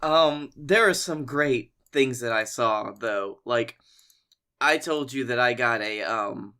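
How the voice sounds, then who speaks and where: Bright-voiced man telling casual comic stories. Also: medium pitch, slow pace, clean tone. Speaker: comedy podcast co-host.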